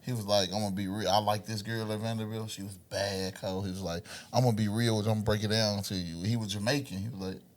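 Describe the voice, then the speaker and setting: A man's voice: on the low side, fairly even intonation, quick pace, few pauses. A man, hosting a podcast and asking questions and telling anecdotes. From Tennessee, US. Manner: asks questions, tells anecdotes. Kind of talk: casual chat, storytelling.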